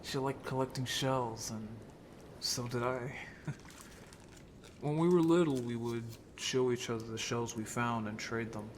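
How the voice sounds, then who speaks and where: A man's voice: medium pitch, fairly even intonation, measured pace, slight background noise, close to an everyday voice. A man playing an earnest, sensitive merman in an audio drama, hesitant and emotional in delivery. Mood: shaken, wistful. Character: earnest, sensitive merman haunted by childhood friendship.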